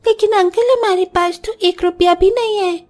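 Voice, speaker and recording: A small, hungry cartoon child in an extremely high voice. The child sounds plaintive and whiny, always pleading. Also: female voice, steady tone, normal speed, darkish tone, faint music behind. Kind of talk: cartoon story voice acting.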